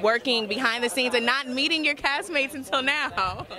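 A woman's voice: high-pitched, normal speed. A young adult woman working as an entertainment reporter, casually interviewing outdoors on a handheld microphone. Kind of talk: casual red carpet interviewing. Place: outdoors at a premiere press line. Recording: busy crowd chatter behind.

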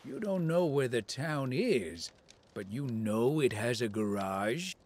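A grumpy little old demon, slow and skeptical. He speaks at a middling pitch, as a video game sidekick. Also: expressive, a little mellow.

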